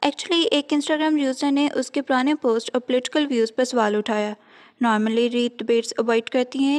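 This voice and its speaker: A woman's voice: sits high, long reverb tail. A woman with an Indian accent presenting scripted entertainment news, mixing Hindi with frequent English words. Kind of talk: scripted celebrity gossip narration.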